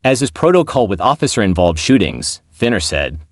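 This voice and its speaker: Man reading news with lively, swooping pitch. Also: a little fast, no room sound, studio quality. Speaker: news narrator. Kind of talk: scripted news narration.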